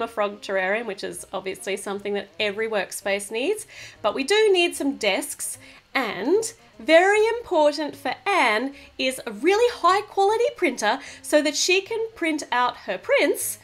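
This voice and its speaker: A woman hosting a craft channel, chatting cheerfully to viewers as she narrates a toy build. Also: sits high.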